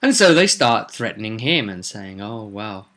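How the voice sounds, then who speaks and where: A man narrating a tabletop roleplay recap as game master, theatrical and emphatic, with deliberate pauses. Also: mid-range voice, big pitch swings, bright, forceful tone. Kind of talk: dramatic storytelling recap.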